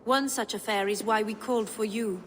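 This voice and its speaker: A woman's voice: mid-range voice, fairly even intonation, moderate pace, big-room sound, slight background noise, natural voice. A woman playing a proud, disdainful Frankish noblewoman in a game cutscene, speaking with weary formality. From Francia. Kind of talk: dramatic game cutscene acting.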